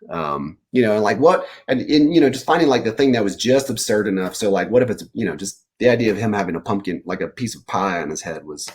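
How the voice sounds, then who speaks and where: Rambling man with a lowish voice. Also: slightly rough. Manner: rambling, animated storytelling, piecing ideas together aloud. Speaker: children's book author, guest. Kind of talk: casual interview answers about writing.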